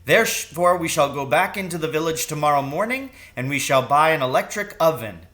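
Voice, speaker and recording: A man's voice: on the high side, expressive, fairly quick, fairly bright tone, pitched above the performer's own voice. A man voicing an exuberant, boastful, loving father in a children's story, with grand, emphatic declarations. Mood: eager.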